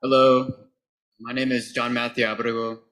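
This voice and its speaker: A man's voice: medium pitch, level tone, normal speed, fairly bright tone. A young man, a student in the audience, hesitantly introducing himself and asking the speaker a question.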